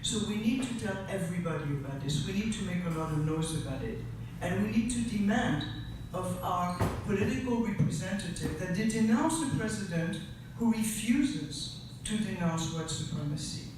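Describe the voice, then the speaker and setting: A man in his sixties from Europe, a teacher speaking earnestly at a public forum, with passion and halting restarts. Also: sits high, animated. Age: 60s. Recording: echoey room, distant mic, noisy.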